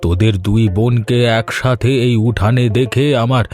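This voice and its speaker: A man voicing an affectionate, welcoming old village father in an animated Bengali family story. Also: mid-range voice, moderate pace, soft background music, natural voice. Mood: tender, welcoming.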